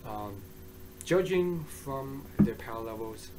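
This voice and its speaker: A man giving a casual, rambling review monologue, hoarse and excitable, often restarting his sentences. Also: mid-range voice, slow, slight background noise, poor audio. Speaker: manga reviewer.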